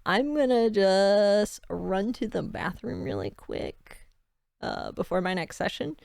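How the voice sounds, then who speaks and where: A woman's voice: mid-range voice, lively intonation, slow, vocal fry, darkish tone, no echo, clean studio sound. A woman game master chatting on a livestream in a bubbly, scattered way. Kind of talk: casual livestream chat.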